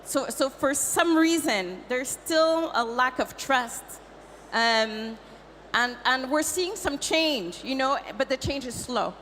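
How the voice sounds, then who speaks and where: A woman's voice: high voice, expressive, takes it slowly, a bit bright. A woman from Cameroon speaking as a tech advisor on a conference panel, emphatic and prone to repeating words. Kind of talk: panel discussion. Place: conference stage. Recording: conference hall reverb, faint noise.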